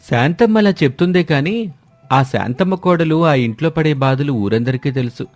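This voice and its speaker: A man's voice: on the high side, animated, a little fast, no echo. A man narrating a scripted moral story as its storyteller. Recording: music behind the voice. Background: music.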